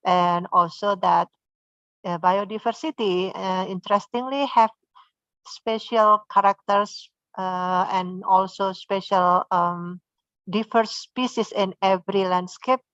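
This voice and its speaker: A woman's voice: medium pitch, steady tone, slow, fairly bright tone, no room sound, highs cut off. A woman with an Indonesian accent moderating an online seminar, hesitant with many fillers.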